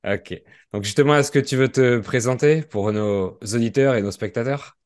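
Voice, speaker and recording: A man hosts a podcast for French learners and speaks clearly at a measured pace. His voice sits at a typical middle pitch for a man. He grew up in France. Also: a little mellow. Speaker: podcast host.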